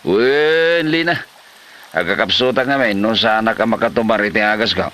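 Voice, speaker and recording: A man's voice: lowish voice, normal speed, a bit bright, close to an everyday voice. A man acting a lazy, hard-drinking husband in an Ilocano comedy drama, wheedling and whining with an Ilocano accent.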